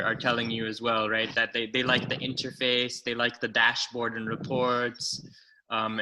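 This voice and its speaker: A man's voice: medium pitch, noisy background. A man hosting a podcast interview, asking questions with frequent stammers and repeated words. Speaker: podcast host.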